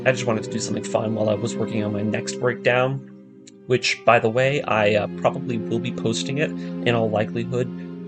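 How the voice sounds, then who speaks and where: A man hosting a video essay, narrating a scripted fan theory with emphatic, deliberate stresses on key words. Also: mid-range voice. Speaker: host of an anime breakdown video series. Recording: music behind the voice. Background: music.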